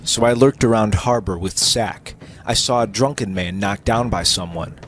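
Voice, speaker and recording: A man's voice: on the low side, normal speed. Theatrical male storyteller over prominent music. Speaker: narrator. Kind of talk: dramatic reading of amateur pirate fiction. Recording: music playing behind the voice.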